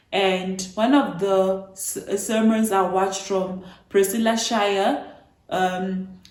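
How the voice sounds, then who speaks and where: Chatty young woman in an echoey room. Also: mid-range voice. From Namibia. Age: 20s. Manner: chatty, animated retelling with playful asides. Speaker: vlogger. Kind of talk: casual storytelling to camera.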